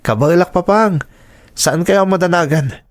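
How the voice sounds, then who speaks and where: An actor with an Ilocano accent playing a dutiful, caring young husband in a radio drama. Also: male voice, high voice, moderate pace, natural voice. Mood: pleading, reassuring.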